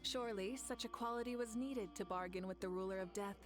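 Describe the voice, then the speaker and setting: An actress playing a proud, fiery woman who leads her nation, speaking as earnest game cutscene dialogue with soft music behind. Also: mid-range voice, brisk, close to an everyday voice. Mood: solemn. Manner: solemn, earnest storytelling.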